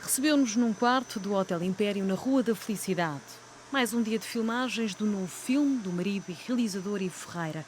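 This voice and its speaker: A woman's voice: mid-range voice, slight background noise. A woman reporter reading a scripted news voice-over. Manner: brisk, scripted delivery. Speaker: reporter narrator.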